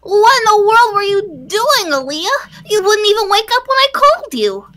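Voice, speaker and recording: A woman's voice: extremely high pitch, big pitch swings, normal speed, sharp tone, pitched above the performer's own voice, full character voice. A woman voicing a grumpy, worried little female teddy bear in a visual novel, scolding and exclaiming urgently.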